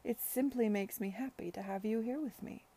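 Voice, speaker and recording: A woman's voice: a little high, moderate pace, breathy edge, pitched above the performer's own voice. A woman playing an eager young lord. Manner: pleading, warm-hearted.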